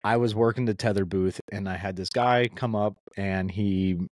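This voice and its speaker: Low-voiced easygoing male podcast host. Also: steady tone, moderate pace, a little mellow, dry recording, studio quality. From Pennsylvania. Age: young adult. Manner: rambling, friendly, says "man" and "dude" a lot. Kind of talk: casual podcast conversation.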